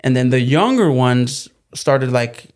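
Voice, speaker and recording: A man's voice: medium pitch, animated, takes it slowly, darkish tone. A man from California, a native Spanish speaker, telling stories in a casual interview, easygoing and joking. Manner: easygoing storyteller, joking and laughing. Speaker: plumbing business co-founder, guest.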